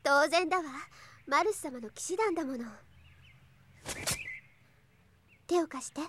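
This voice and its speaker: High-voiced earnest young princess knight. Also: female voice, brisk, fairly bright tone. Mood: gentle, determined. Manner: earnest, impassioned. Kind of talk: anime audio drama acting. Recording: studio drama with music behind. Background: music.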